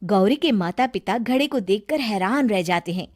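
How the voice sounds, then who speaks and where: A woman's voice: medium pitch, lively intonation, moderate pace. A woman narrating a scripted moral story for a cartoon, as a storyteller.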